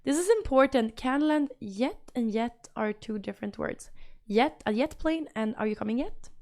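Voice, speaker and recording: A woman's voice: a little high, expressive, slow pace, long pauses, mellow. A young woman with a Swedish accent, a streamer chatting casually and reading out her chat, bubbly and repetitive. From Sweden.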